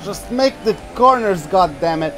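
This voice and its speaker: A man's voice: high-pitched, lively intonation, a little slow, over music. A young Portuguese man with a Portuguese accent, chatting and laughing often as he commentates his own racing game on a live stream. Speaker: gaming streamer.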